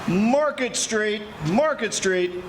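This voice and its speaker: A woman's voice: mid-range voice, animated, slow. A woman playing a brash, streetwise cable car conductor calling out in 1940s radio style. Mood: brash, impatient. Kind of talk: 1940s radio comedy-mystery acting. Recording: noisy live stage recording.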